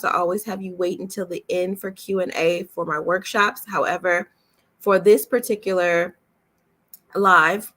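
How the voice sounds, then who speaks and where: A woman's voice: on the low side, fairly bright tone. A woman hosting an online webinar as a coach, chatting casually to viewers in a welcoming, reassuring way. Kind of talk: casual livestream chat to viewers.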